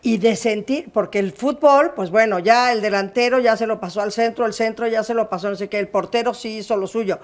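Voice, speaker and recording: A woman hosting an interview, friendly and prompting her guest with quick affirmations. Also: a little high. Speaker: interviewer and channel host. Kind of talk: conversational interview.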